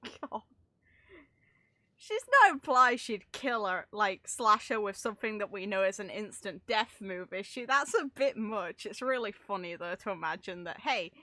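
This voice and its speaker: A woman's voice: mid-range voice, sharp tone, no echo. A woman streaming a game with casual live commentary, rambling as she thinks aloud and swearing mildly.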